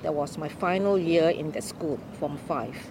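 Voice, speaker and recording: An older woman from Malaysia telling her life story in an unhurried, explaining way during an interview. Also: low-pitched, noisy background. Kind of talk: oral history interview.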